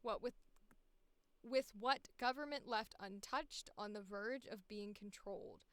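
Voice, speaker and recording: A woman's voice: mid-range voice, unhurried, fairly bright tone, clear, resonant tone. A woman voice actor chatting casually on a livestream, reading casting calls aloud and musing to herself as she goes. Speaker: voice actor streaming auditions.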